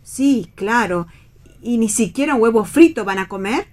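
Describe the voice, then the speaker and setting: A woman's voice: a little high, expressive, moderate pace, a little mellow, natural voice. An actress playing a chatty, inquisitive and naive mother with old-fashioned, homely tastes in a radio play reading. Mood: chatty, fussing. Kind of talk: radio read theatre dialogue.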